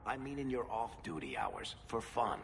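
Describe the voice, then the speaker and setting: A man's voice: fairly low pitch, huge pitch swings, normal speed, gravelly, slight background noise, heavily acted. A man playing a cheeky, roguish adventuring companion in a video game, with a teasing delivery. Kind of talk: video game cutscene acting. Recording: game music behind the voice.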